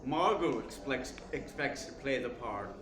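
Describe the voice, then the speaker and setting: A man's voice: mid-range voice, lively intonation, measured pace, a crowd in the background, natural voice. A man playing a suave, cynical theater critic with a dry, pointed delivery in a radio play. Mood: dry, menacing. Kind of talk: stage-style radio play acting and narration. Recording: echoey room, clear background noise.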